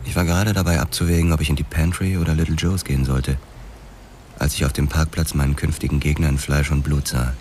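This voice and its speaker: A male actor playing a cool young boxer cop, narrating his own story in a measured, understated noir style. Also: extremely low pitch, steady tone, a little mellow, a little hiss or hum. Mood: cool, watchful. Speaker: actor and narrator in a radio drama. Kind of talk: first-person noir narration.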